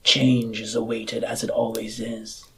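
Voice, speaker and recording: A man playing a courteous, earnest politician campaigning for power in a roleplayed audio drama, speaking formally and deliberately. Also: lowish voice, completely flat delivery, slight background noise. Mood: grave, probing. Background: music.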